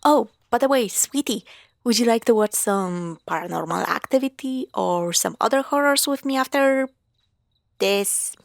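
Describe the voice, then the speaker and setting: A woman playing a playful, sarcastic young girlfriend in a spooky roleplay audio drama, teasing and expressive. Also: a little high, moderate pace, vocal fry, no echo, clean studio sound. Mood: playful, affectionate. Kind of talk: spooky roleplay audio drama, acted one-sided dialogue.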